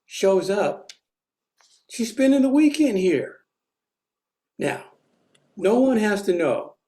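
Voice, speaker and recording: A performer playing a patient father at a table read, gently coaxing his daughter. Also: mid-range voice, sing-song, slow pace. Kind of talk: table read of an amateur play.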